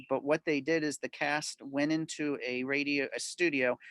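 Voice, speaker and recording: A man's voice: mid-range voice, fairly bright tone, no room sound. Dry-sounding man presenting casually. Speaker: club presenter introducing recordings. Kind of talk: casual explanatory talk. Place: online video meeting.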